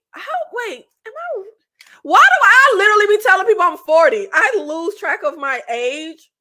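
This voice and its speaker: A woman in her late thirties chatting casually with her viewers as a channel host, animated and slangy, often laughing. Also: high voice. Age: 30s.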